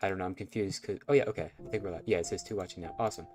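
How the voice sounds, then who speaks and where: A man's voice: low-pitched, fairly even intonation, very fast, darkish tone, soft background music. A man with a nasal, stuffy voice, rambling and self-conscious as he chats through a live game stream. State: stuffy nose from allergies.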